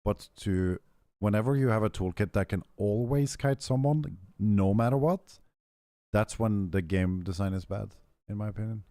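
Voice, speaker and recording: A young man with a deep, low voice talks to his stream viewers in a loose, rambling way. His throat is rough and hoarse, and he keeps clearing it. The sound is dry and close. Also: normal speed, mellow, dry recording. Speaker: game streamer. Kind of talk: casual stream chat, answering chat.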